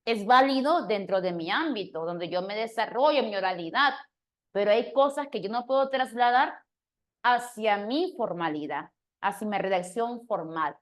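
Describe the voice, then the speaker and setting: A woman's voice: mid-range voice, expressive, normal speed. A woman teacher giving an online exam-prep grammar class, explaining step by step and checking in with her students as she goes.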